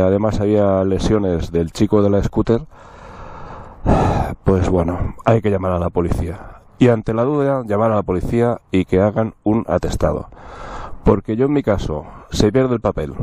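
Man motovlogger, deep dark voice, chatty and wry, outdoors, helmet on. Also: no room sound. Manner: chatty, wry, storytelling with asides to viewers. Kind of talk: casual vlog talk to camera.

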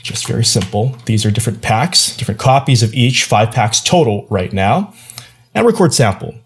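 A young man giving a casual, playful screencast tutorial with animated, slangy asides. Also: mid-range voice, moderate pace, a little hiss or hum. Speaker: tutorial presenter. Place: small indoor room at home.

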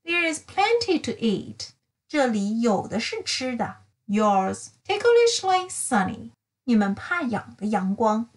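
Storybook young male meerkat female reader, high, very lively intonation, cheerful and eager letter-writer. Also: slow pace. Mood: chatty. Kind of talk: children's picture-book letter reading.

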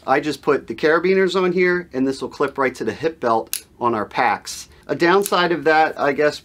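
A man in his thirties talks through a homemade gear build in an informal, conversational way. His voice sits at a middling pitch, and he explains each part casually, as a host would to viewers. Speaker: host of a DIY outdoor gear channel. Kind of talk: casual hands-on explainer to camera. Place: furnished indoor gear room at home.

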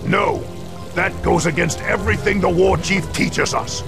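Gravelly, uneasy orc warrior. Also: male voice, high-pitched, a little slow, music in the background. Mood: urgent protest. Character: uneasy male orc warrior, earnest, cautious. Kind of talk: video game acting.

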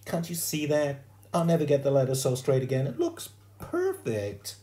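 An adult storyteller voicing a bossy, dramatic young schoolgirl, with a shrill, whiny squeal when upset. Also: wildly swooping pitch, moderate pace, dark tone. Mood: defensive, pleading.

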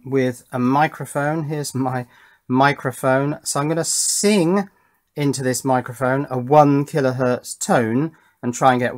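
A middle-aged man presenting an informal hands-on electronics demonstration, unhurried and thinking aloud as he explains. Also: medium pitch.

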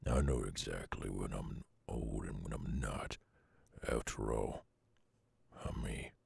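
Very deep gravelly old Southern werewolf. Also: male voice, a little mellow, no echo, heavily acted. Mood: gruff, resigned. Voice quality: very gravelly, husky growl. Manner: halting, brooding drawl with long pauses. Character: gruff weary three-hundred-year-old male werewolf, guarded yet tender. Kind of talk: slow intimate ASMR audio roleplay.

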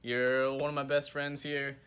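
A young man in a dorm room, joking deadpan with a friend who is filming him on a phone. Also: medium pitch, a little slow. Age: young adult. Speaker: college friend. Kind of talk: casual joking chat. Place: dorm room, indoors, small.

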